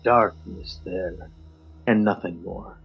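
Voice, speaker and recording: A man co-hosting a podcast, giving a theatrical poetry reading that swings between loud highs and hushed drops. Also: slow pace, gravelly, breathy edge. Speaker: podcast co-host. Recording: slightly muffled with faint music.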